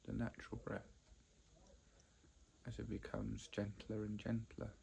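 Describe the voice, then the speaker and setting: A man teaching a guided meditation, speaking haltingly in short phrases with long pauses between them. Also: on the low side, completely flat delivery, creaky, a little mellow, slight background noise. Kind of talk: guided meditation, unscripted explanation.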